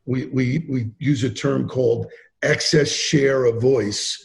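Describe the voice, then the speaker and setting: A man talking as a podcast guest in a casual interview, animated and emphatic, acting out quotes as he tells stories. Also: medium pitch, takes it slowly, vocal fry. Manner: animated, emphatic storyteller who acts out quotes. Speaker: podcast guest, sales trainer.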